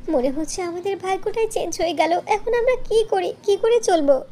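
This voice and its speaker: Woman acting high-pitched earnest young dressmaker. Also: animated, moderate pace, mellow, a little hiss or hum. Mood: worried. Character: young village daughter-in-law dressmaker, earnest and anxious. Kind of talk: Bengali fairy-tale cartoon dubbing.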